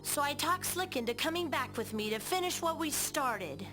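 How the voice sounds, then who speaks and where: A boastful, determined little dwarf in a video game. The high voice is brash and confident, with music underneath. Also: female voice, animated, normal speed. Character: feisty, boastful dwarf artisan, greedy and determined. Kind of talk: video game cutscene acting.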